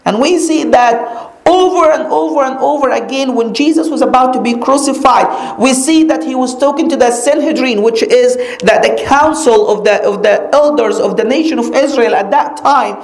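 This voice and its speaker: Echoey, higher-voiced woman preaching. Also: creaky, a little mellow. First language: Arabic. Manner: emphatic, repeats key phrases with slow dramatic pauses. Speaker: Bible teacher. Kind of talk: sermon teaching. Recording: echoey room.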